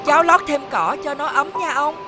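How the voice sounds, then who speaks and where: A woman playing an earnest young boy prince in an animated fairy tale, polite and childlike. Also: high-pitched, brisk, lightly acted. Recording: background music under the voice.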